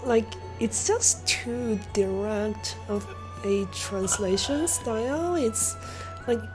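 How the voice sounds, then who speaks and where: A woman's voice: fairly high pitch, slow, music in the background, low-quality recording. A young woman with a Chinese accent chatting casually while streaming a game, rambling with lots of fillers. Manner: rambling, many fillers and soft laughs.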